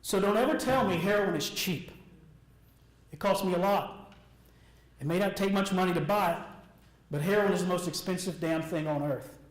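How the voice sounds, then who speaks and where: An American man delivers a rehearsed personal testimony to an audience, speaking earnestly and deliberately in short, emphatic, repeated phrases. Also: high-pitched. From Alabama, United States. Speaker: motivational speaker. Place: echoey room, speaking before an audience. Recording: echoey room sound.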